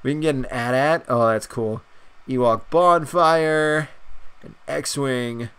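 A young man with a stuffed-up nose, chatting excitedly and rambling through a video game's shop menus as a gaming channel host. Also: mid-range voice, slow pace, clean tone. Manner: excited, rambling, many fillers. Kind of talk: casual gameplay commentary.

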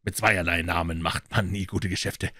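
A man playing a calm, courteous, self-assured detective in a story reading, speaking in a measured, knowing way. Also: low-pitched, no room sound, studio quality.

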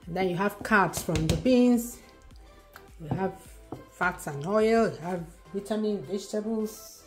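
Nigerian woman, forties, home cook, chatty, unhurried, camera at a distance, faint music behind. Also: mid-range voice. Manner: chatty, playful, thinks aloud while cooking. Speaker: cooking channel host. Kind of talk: cook-along cooking video. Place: home kitchen, indoors.

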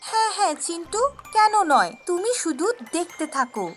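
A woman's voice: very high voice, big pitch swings, normal speed, soft background music, voice pushed up. A woman voicing a kind young mermaid in a cartoon story, soothing and confident. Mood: reassuring. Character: kind young female river mermaid, reassuring.

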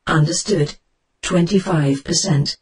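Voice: medium pitch, a little slow, crowd noise, natural voice. A performer voicing a spaceship computer assistant in short scripted confirmations of commands. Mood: calm, obedient. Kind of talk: short scripted voice-pack responses.

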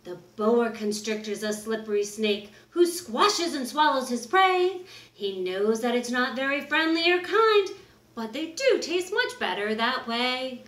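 A middle-aged woman reading a children's picture book aloud in an exaggerated, expressive way, with drawn-out words. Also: on the high side. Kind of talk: animated children's story read-aloud. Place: small wood-paneled room indoors. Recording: roomy, echoing indoor recording.

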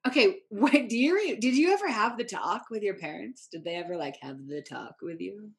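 A woman's voice: mid-range voice, lively intonation, fairly quick, creaky. A woman in her thirties hosting a podcast, chatty and encouraging as she asks questions, seated in a living room at a tabletop microphone. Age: 30s. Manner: chatty, encouraging, laughs often. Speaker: podcast host. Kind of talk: casual interview chat. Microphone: tabletop podcast mic.

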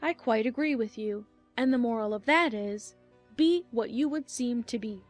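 A woman's voice: on the high side, normal speed, faint music behind, close to an everyday voice. A woman playing a gushing, moralizing Duchess with an affected manner in a dramatized audiobook. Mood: smugly moralizing.

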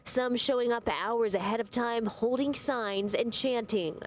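A young female field reporter speaks into a handheld mic outdoors at night, her delivery crisp and scripted. A faint rumble of noise sits behind her. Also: a little slow. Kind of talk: live news report. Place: outdoor parking lot at night.

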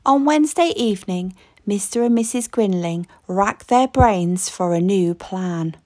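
A woman reading a children's story aloud with expressive, deliberate, dramatic narration. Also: mid-range voice, dry recording, clean studio sound. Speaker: storybook reader.

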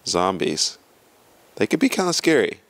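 Playful man in his thirties, lively low voice. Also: normal speed, shouting, heavy background noise. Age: 30s. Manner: playful, laughing, animated asides. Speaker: interviewee. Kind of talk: casual joking answers. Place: indoor church hall stage. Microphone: handheld mic held by interviewer.

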